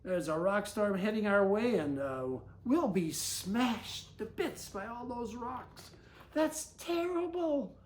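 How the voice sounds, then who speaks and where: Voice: mid-range voice, animated, slow, a little hiss or hum, voice pushed up. A storyteller voicing frightened, stranded children from a picture book, exclaiming and pleading. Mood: alarmed.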